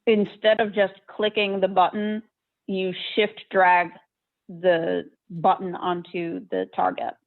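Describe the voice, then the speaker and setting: A woman on an online tabletop game call, casually giving practical help with the software. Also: fairly low pitch, flat delivery, takes it slowly, gravelly. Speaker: game participant helping with the software. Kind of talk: casual, instructional game chat. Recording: thin phone-like online call audio.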